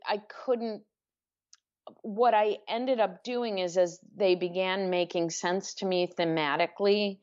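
A woman author being interviewed, speaking thoughtfully with pauses and self-corrections. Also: fairly low pitch, lo-fi sound. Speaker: author guest. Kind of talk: podcast interview.

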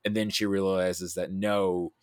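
A man talking casually about films, thinking aloud in a halting, animated way with self-quoting asides. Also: deep voice, dry recording, studio quality. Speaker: podcast guest discussing film. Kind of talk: casual film discussion.

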